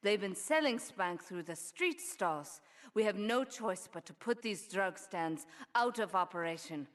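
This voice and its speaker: A woman's voice: medium pitch, animated, unhurried, lightly acted. An actress playing a cool, ruthless female crime boss in a video game, poised and matter-of-fact about violence. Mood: dry, resolute. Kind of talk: scripted video game cutscene acting.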